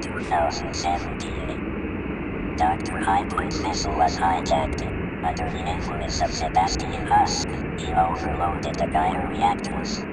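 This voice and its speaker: A man's voice: rumbling low, low-quality recording. A man playing a stern, impersonal corporate officer, reading a formal incident report in a science fiction audio drama. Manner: formal, detached. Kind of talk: scripted report narration. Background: loud music.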